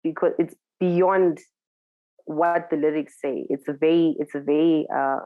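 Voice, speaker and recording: A woman's voice: deep voice, steady tone, measured pace, no echo, phone-quality sound. A woman DJ with a Zimbabwean accent, talking casually in an interview, thoughtful and searching for words. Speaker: DJ guest.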